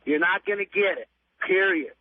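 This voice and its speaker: A man's voice: high voice, normal speed, no echo. A man in his late forties calling a radio show, blunt and emphatic. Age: 40s. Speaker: radio phone-in caller. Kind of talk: opinionated phone-in chat. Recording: tinny telephone line on radio.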